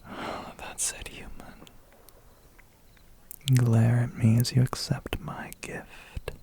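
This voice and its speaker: A man's voice: medium pitch, monotone, slow pace, creaky, warm tone. A man playing a seductive, predatory vampire biker in an ASMR roleplay, intimate, teasing and theatrical. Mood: dark, coaxing. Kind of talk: whispered ASMR roleplay acting.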